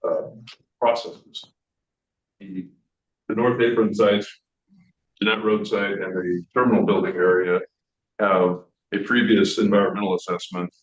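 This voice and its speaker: A man with a very low voice walks a board through a memo in a loose, conversational way. The audio is roomy and a bit dull, like a meeting room mic. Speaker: port staff presenting a memo. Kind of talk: public meeting presentation.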